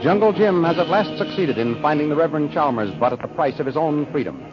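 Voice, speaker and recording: A man's voice: a little high, animated, quick pace. A man with an American accent announcing a 1930s radio serial in scripted style. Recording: muffled old radio broadcast recording. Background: music.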